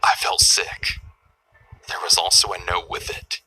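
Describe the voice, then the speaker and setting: A man narrating a scary first-person story in a hushed, half-whispered delivery. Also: low voice, little pitch movement, a little slow, poor audio.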